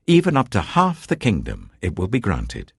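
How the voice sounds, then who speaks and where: British male narrator as stately king, commanding, magnanimous, very animated intonation, dry audiobook sound. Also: on the low side, normal speed, slightly rough, no echo, heavily acted.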